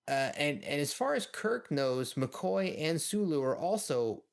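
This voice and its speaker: A man's voice: fairly high pitch, sing-song, a little slow, vocal fry. A man co-hosting a podcast, giving casual, wryly amused commentary on an episode as he watches. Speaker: podcast co-host.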